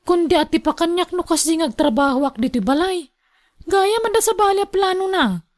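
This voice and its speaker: High-pitched, resentful Ilocano young wife. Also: female voice, expressive, normal speed. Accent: Ilocano Filipino. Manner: complaining, sharp-tongued. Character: resentful young wife feuding with her mother-in-law. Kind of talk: Filipino radio drama acting.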